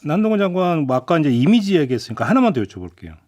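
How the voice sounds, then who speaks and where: Man, radio host, quick-paced, animated pitch, brisk questioning, dry studio. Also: no echo. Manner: brisk questioning, short backchannel replies. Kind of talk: radio current-affairs interview.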